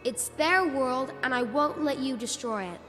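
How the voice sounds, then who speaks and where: High, earnest boy hero. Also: a little slow. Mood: earnest, resolute. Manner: earnest, plain-spoken, boyish. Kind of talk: fantasy game voice acting. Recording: loud music behind the voice.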